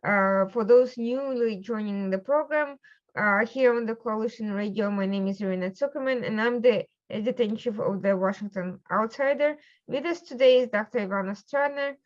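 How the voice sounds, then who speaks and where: A woman's voice: fairly high pitch, normal speed. Formal woman host, muffled audio. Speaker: host and editor-in-chief. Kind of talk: formal show introduction.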